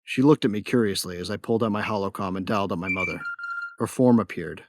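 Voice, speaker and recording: Man playing low-voiced, cocky young bounty hunter. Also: fast, background noise, natural voice. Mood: wry narration. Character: young male bounty hunter, cocky, wry, laid-back narrator. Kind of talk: first-person audio drama narration.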